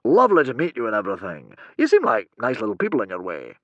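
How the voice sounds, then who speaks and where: Guy acting a fed up everyman hero in a story, indignant, breezy, sharp voice all over the place. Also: medium pitch, huge pitch swings, fast talker, no room sound, lo-fi sound. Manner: breezy, protesting, exasperated. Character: indignant, put-upon ordinary bloke of a hero.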